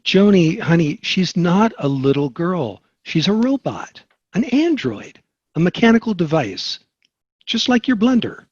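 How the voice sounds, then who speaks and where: A dull, lo-fi close recording of a man playing a sitcom dad. His husky voice swings widely as he coaxes and instructs, practical and friendly. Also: sits high, a little slow, no echo, full character voice. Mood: coaxing, practical. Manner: animated, coaxing.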